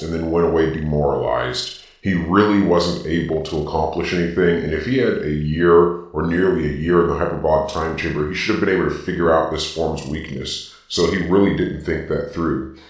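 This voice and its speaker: A man speaking through a robotic villain-style voice filter, giving informal, opinionated commentary and explaining his reasoning point by point with emphasis. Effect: deep robotic Darth Vader-style voice filter. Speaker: video host. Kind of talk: informal opinionated ranking commentary, partly improvised. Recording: very echoey, distant from the mic.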